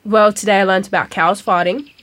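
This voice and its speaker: A woman's voice: medium pitch, steady tone, brisk, a bit bright, lightly acted. A woman playing a plain-spoken, insistent schoolgirl granddaughter in a naturalistic audio drama. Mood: matter-of-fact. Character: stubborn, earnest Australian schoolgirl granddaughter.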